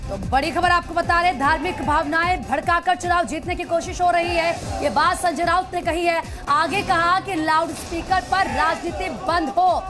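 A woman's voice: very high voice, normal speed, hardly any pauses. A woman anchoring live breaking news in an urgent, emphatic way. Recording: news music and crowd noise behind. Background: music and crowd clamour.

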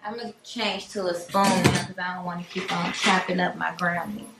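A woman's voice: fairly low pitch, fairly even intonation, moderate pace, vocal fry. A young American woman chatting casually and sassily as she hosts a home cooking vlog. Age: young adult. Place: home kitchen, indoors. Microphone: far camera microphone. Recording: roomy, distant, noisy kitchen recording.

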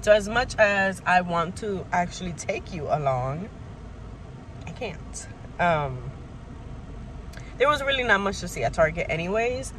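A woman's voice: lowish voice, animated, a little slow, long pauses, a bit bright, poor audio. A young woman with an American accent talks in a casual, chatty vlog style. She is recorded on a phone held at arm's length inside a car. There is faint noise behind her voice. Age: young adult. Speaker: vlogger. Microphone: handheld phone at arm's length.

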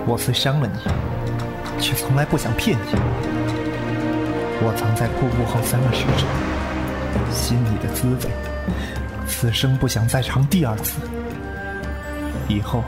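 Casting: male actor as proud young wuxia fighter, medium voice, measured and direct, devoted. Audio drama scene over clear music. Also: medium pitch, close to an everyday voice. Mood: earnest devotion. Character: proud, devoted young martial-arts man, quietly intense.

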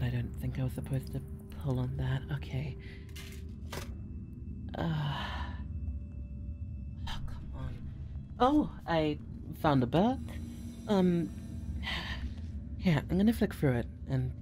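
A woman's voice: deep voice, background noise. Woman playing a teasing, bluffing girlfriend.

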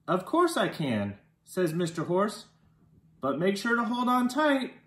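Storytime reader for young children: unhurried, expressive with dialogue, recorded in an echoey living room. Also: medium pitch, slight background noise. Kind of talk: children's picture book read-aloud. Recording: roomy indoor echo.

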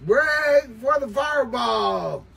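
An older American man calling out on CB radio in showy, slangy banter, repeating catchphrases, with a gravelly voice. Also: very high voice, lively intonation, slow pace, background noise. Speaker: CB radio operator. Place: small cluttered indoor radio room or shed. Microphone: desk CB microphone in hand, filmed from across room.

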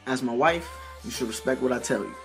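A man's voice: mid-range voice, brisk, creaky, fairly bright tone, faint music behind. A man playing a defensive, short-tempered, controlling husband in an audio drama. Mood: annoyed, demanding.

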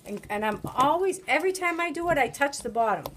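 A woman's voice: sits high, animated, quick pace. Chatty New England woman, homemade bread. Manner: chatty, coaxing, talks while kneading dough by hand. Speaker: home cook showing bread making. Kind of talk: casual kitchen demonstration. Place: home kitchen with children around. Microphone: phone camera held by another person. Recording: noisy home video.

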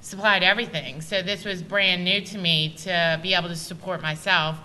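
A woman in her thirties speaks on a panel in an earnest, direct way. Her voice is bright and forward, picked up by a table microphone over faint room noise. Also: on the low side, fairly even intonation, normal speed, rough recording. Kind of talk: casual panel talk. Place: university meeting room.

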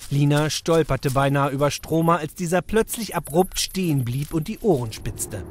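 A man narrating a children's audio drama in an expressive storytelling manner. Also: on the high side, moderate pace, a little hiss or hum.

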